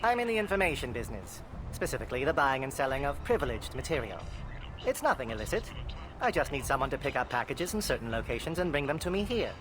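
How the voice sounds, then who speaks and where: A man playing a sly, smooth-talking information dealer in a video game, coy and insinuating in his salesmanship. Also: medium pitch, big pitch swings, fast talker, natural voice, poor audio. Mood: sly, persuasive. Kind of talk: video game dialogue acting.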